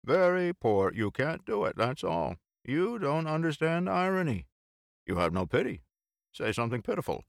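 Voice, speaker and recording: Man performing a boisterous teasing man, audiobook dialogue, mock-preachy, emphatic, animated swooping pitch, gravelly edge. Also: moderate pace, dry recording, studio quality. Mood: mock scolding.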